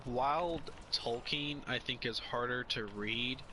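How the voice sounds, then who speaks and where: A man's voice: mid-range voice, takes it slowly, bright, forceful tone, a little hiss or hum, low-quality recording. A young man in casual chat as a podcast co-host, rambling and opinionated, often restarting his sentences.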